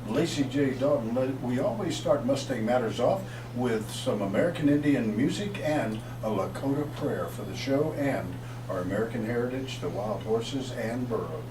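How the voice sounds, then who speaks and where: A man hosting a community talk radio show with energetic, promotional delivery, reading out phone numbers and introducing guests. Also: medium pitch, normal speed. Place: radio booth set up in a shopping mall. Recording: roomy, distant mic, noisy.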